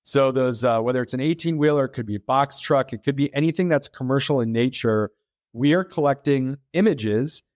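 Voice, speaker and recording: A middle-aged American man talking through his work as a podcast guest, mid-pitched and easygoing. He explains at length, circling back with fillers and restarts. The sound is close and dry, with little room. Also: fairly quick, darkish tone, dry recording. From United States. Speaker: podcast guest, freight tech founder. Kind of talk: casual interview talk.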